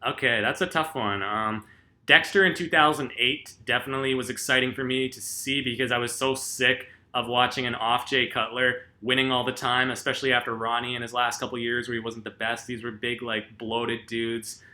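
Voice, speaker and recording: A man's voice: medium pitch, steady tone, moderate pace, pushed and bright. A man answering viewer questions in a casual vlog, rambling with lots of ums and uhs, laid-back and self-deprecating.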